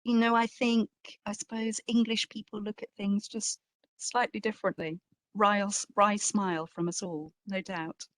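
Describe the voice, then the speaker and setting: A woman's voice: mid-range voice, level tone, moderate pace, fairly bright tone, no echo, rough recording. A woman speaking as an exhibition curator in an informal academic talk, reflective and hedging, stressing key words.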